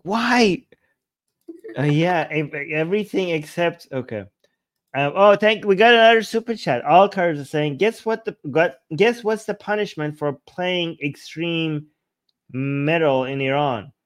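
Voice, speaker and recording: A man hosting a livestream, reading texts and viewer messages aloud and commenting casually in an animated, mock-incredulous, digressive way. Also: fairly high pitch, unhurried, dry recording, studio quality. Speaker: livestream host.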